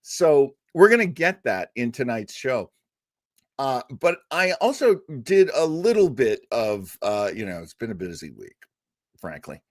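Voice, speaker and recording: A man hosts a livestream in a casual, enthusiastic way, dotting his talk with "uh" and "you know." His voice rises and falls in a lively way. The sound is dry and close. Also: medium pitch, unhurried, dry recording. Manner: chatty and enthusiastic, with many fillers. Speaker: host of a livestreamed radio-show listening party.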